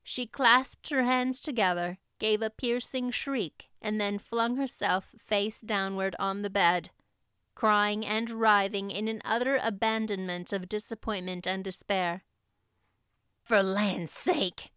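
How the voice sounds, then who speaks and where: A woman's voice: medium pitch, steady tone, a little slow, sharp tone, clean tone, dry recording, studio quality. A woman audiobook narrator reading a classic story as scripted narration.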